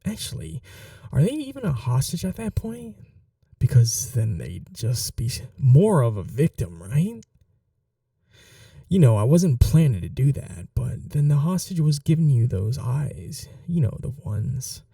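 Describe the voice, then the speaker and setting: Chatty, vain male supervillain, played by a man, smitten and casually menacing, unhurried and gravelly, ASMR roleplay. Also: medium pitch, very animated, warm tone, dry recording, studio quality, recorded from a distance, full character voice. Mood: playful, menacing. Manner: flirtatious, casually menacing, musing aloud. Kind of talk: ASMR roleplay monologue to the listener.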